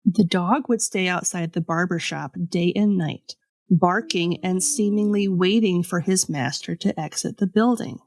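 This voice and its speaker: A woman's voice: on the low side, a little slow, darkish tone, no room sound. Woman storyteller, close dry sound. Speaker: podcast host. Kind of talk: scripted storytelling with casual asides.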